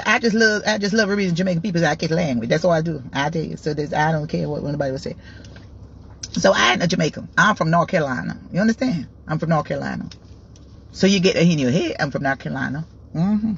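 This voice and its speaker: A woman voicing a defiant woman who insists over and over that she is American, in a lower, dismissive tone. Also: lively intonation, fast, creaky, husky, dull audio, heavily acted.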